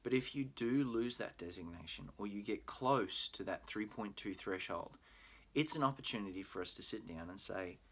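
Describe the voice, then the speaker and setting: Deep-voiced Australian man explaining calmly. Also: moderate pace. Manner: friendly, explanatory, with frequent "uh" fillers. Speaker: pre-law program manager. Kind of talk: recorded admissions presentation. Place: home room with bookshelf, indoors.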